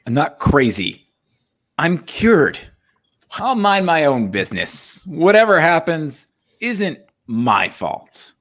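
A man's voice: on the high side, sing-song, slow, fairly bright tone, full character voice. A young man acting a roguish, defensive, self-important smuggler in a comic table read. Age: young adult. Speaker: actor in live script table read. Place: home room, video call. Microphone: desk microphone, close.

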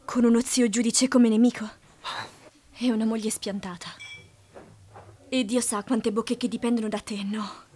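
Woman acting resolute, sorrowful young lady, high voice. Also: fairly even intonation, normal speed, pauses often, mellow, breathy edge. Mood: urgent, reproachful. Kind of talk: dramatic film dubbing. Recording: fan dub with audible background noise.

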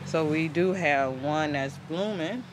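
A man's voice: fairly high pitch, slow pace, rough recording. A man giving a casual, rambling, conversational garden tour as host, recorded outdoors on a handheld camera. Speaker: host of a home gardening channel. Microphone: handheld camera microphone. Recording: outdoor ambient noise on camera mic.

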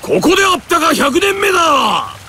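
A man's voice: extremely high pitch, big pitch swings, moderate pace, creaky, raised voice, background noise, heavily acted. An actor voicing a proud, authoritative warrior king in a Japanese fighting game, declamatory and stern. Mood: thundering. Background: background music.

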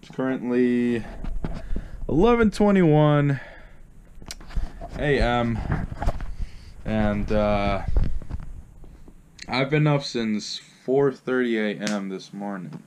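A man's voice: mid-range voice, expressive, extremely slow, long pauses, darkish tone, a little hiss or hum. Young man rambling in a vlog. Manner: rambling, many fillers and restarts. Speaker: vlogger. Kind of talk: casual vlog talk to camera. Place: small bedroom, then driving a car. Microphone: action camera held close.

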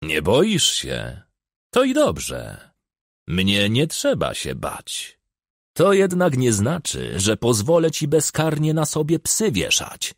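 Man acting gravelly, menacing old village healer. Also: mid-range voice, huge pitch swings, dry recording, studio quality, voice pushed up, full character voice. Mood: controlled menace. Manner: deliberate, offended, threatening. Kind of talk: audiobook character acting.

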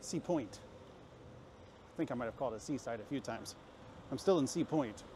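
A man's voice: medium pitch, lively intonation, normal speed, mellow. A man talking casually to a camera as a travel vlogger walking on a busy street, rambling in a self-mocking way. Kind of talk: casual walk-and-talk narration to camera. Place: busy city street, outdoors. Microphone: handheld camera while walking. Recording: clear street and traffic noise.

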